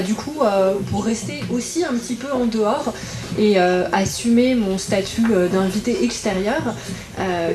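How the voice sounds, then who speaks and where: A woman speaking as an invited regional councillor at a public political meeting, in halting phrases with many filled pauses. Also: medium pitch. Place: indoor public meeting room. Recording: roomy echo, audible background noise.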